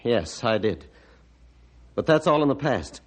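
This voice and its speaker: Gruff, guilt-ridden hermit doctor. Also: male voice, mid-range voice, big pitch swings, unhurried. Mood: defiant. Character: bitter, guilt-ridden male hermit, a former surgeon. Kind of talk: old-time radio drama acting.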